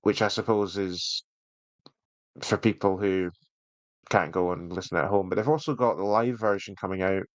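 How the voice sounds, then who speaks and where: Male podcast host, lower register, casual and enthusiastic, hesitant phrasing, dry recording. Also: moderate pace, no echo. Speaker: podcast host reviewing audio drama. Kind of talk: casual review chat.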